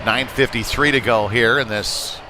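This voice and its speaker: A man's voice: mid-range voice, fairly even intonation, normal speed. A man calling live play-by-play of a youth hockey game in a folksy, conversational way, with analytical asides. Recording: noisy rink ambience behind voice.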